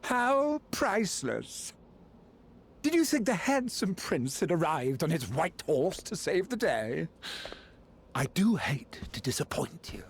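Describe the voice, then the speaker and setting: Sneering, drawling villain lord. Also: male voice, fairly high pitch, very animated, slow pace, a little hiss or hum, heavily acted. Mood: smug, mocking. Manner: mocking, haughty, drawling. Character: sneering, flamboyant, cruel male villain lord. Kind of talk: theatrical video game acting. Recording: loud music behind. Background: game music.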